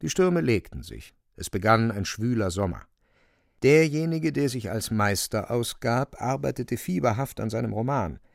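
A man narrating a literary radio drama in a gravelly voice. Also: medium pitch, no echo, studio quality.

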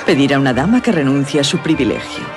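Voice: medium pitch, expressive, quick pace, natural voice. A performer playing a mature, elegant, teasingly evasive masked noblewoman in a radio drama, with a coy, aristocratic, theatrical delivery. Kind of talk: Spanish radio drama dialogue. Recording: radio drama with music and party crowd.

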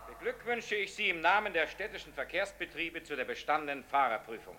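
An actor playing a pompous transport official in a radio play, formal and declamatory. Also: male voice, on the high side, brisk, bright, forceful tone. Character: pompous transport official making a ceremonial speech.

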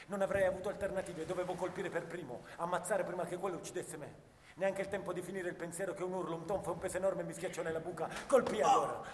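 A man's voice: fairly high pitch, close to an everyday voice. Echoing haunted soldier, acted by a man in radio drama. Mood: panicked, intense. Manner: dramatic first-person storytelling, swinging from hushed to intense. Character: young male First World War soldier, haunted, bitter, anguished. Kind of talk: theatrical radio drama monologue. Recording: roomy, long reverb, clear background noise.

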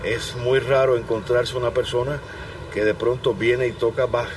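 A man from Cuba, a pianist, giving thoughtful interview answers with pauses and restarts. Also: medium pitch, flat delivery. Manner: thoughtful, pausing, restarts words. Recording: distant mic with background noise.

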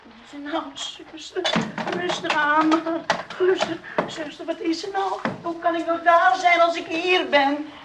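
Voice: very high-pitched, fairly even intonation, unhurried. A performer playing a naive, bewildered young lad in a comic radio play, simple and repetitive in manner. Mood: soothing bewilderment. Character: young, naive, good-hearted Gerrit, bewildered and protesting. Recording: echoey, distant, noisy old radio recording.

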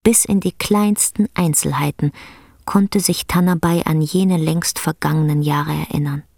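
A woman narrating a literary radio drama as a sober, measured storyteller. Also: sits low, steady tone, vocal fry, darkish tone, dry recording, studio quality, exaggerated voice.

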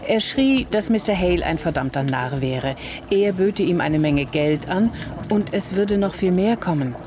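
An actress playing an observant, talkative neighbour giving witness testimony in a radio crime drama, telling it deliberately and with emphasis. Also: female voice, on the low side, animated, lightly acted. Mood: earnest, emphatic.

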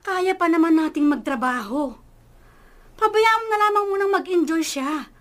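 Casting: indulgent radio-drama mother, very high voice, lively swings, emotive and defensive. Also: normal speed. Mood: hurt, defensive. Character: doting, indulgent, defensive mother of a grown son. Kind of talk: Filipino radio drama acting.

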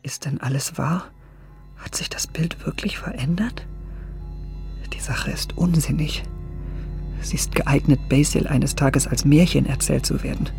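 A man playing a vain, brooding young gentleman in a radio drama, speaking intensely and inwardly, partly in whispers. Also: on the high side, fairly even intonation, unhurried, gravelly, pauses often, faint music behind. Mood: uneasy brooding.

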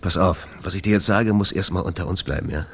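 An actor playing a troubled, exasperated jazz club owner in a radio play, talking in a confiding way. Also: male voice, low-pitched, quick pace, slightly rough. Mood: worried, confiding.

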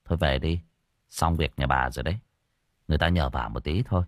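A man's voice: a bass voice, a little fast, no echo, studio quality, voice pushed down. A man voicing a blunt, bossy young spirit medium who scolds casually in a story. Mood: brisk, bossy.

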